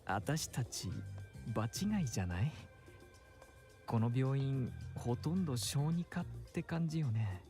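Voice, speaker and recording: Effeminate male sidekick for a visual novel, dark soft tone, ill at ease male actor. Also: mid-range voice, lively intonation, normal speed, faint music behind, close to an everyday voice. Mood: uneasy. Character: fidgety, soft-mannered friend with feminine speech. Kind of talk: Japanese visual novel voice acting.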